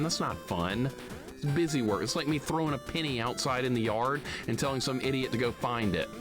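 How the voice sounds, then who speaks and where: Male live streamer, mid-pitched, griping sarcastically through casual gameplay chat over prominent game music. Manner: grumbling, sarcastic complaining. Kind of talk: casual gaming commentary.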